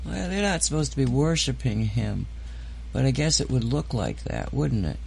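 A man's voice: mid-range voice, sing-song, normal speed, creaky, darkish tone, slight background noise, low-quality recording. A man hosting a casual podcast chat, rambling and hesitant, full of fillers and restarts. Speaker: host.

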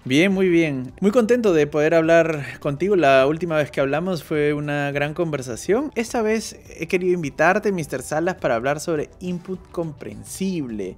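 Higher-voiced man hosting a podcast. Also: animated, normal speed, hardly any pauses. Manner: friendly, thinks aloud with many fillers. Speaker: podcast host. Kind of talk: interview podcast.

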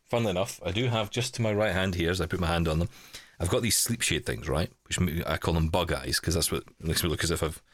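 A man hosting a podcast, talking in a casual, chatty and rambling way with animated storytelling. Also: low voice, quick pace, no room sound. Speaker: podcast host and producer.

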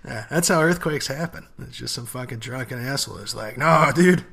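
A young man co-hosting a podcast, giving relaxed, joking advice with plenty of swearing and laughter. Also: medium pitch, brisk, vocal fry, breathy edge. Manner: laid-back, profane, laughs often. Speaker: podcast co-host. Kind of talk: casual advice chat.

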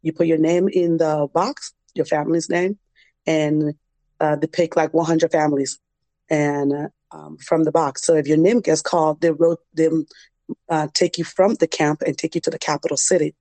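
A woman's voice: low voice, little pitch movement, moderate pace, mellow, dry recording. A young adult woman, telling personal stories in a reflective, conversational interview style. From Liberian, grew up in a refugee camp in West Africa. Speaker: interview guest, dance program founder.

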